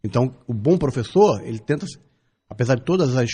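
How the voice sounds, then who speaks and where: A man's voice: mid-range voice, expressive, a little fast, dark tone, husky. A man in his fifties from Brazil, giving an emphatic opinion commentary on the radio, driving his points home with repetition and rhetorical questions. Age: 50s. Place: radio studio.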